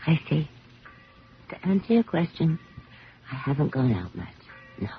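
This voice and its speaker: A woman's voice: low-pitched, big pitch swings, moderate pace, creaky, faint music behind, exaggerated voice. An actress playing an earnest, self-doubting young career woman in a radio drama, speaking formally and hesitantly. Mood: reserved, candid. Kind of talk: 1970s radio drama acting. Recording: dull, noisy 1970s radio broadcast recording.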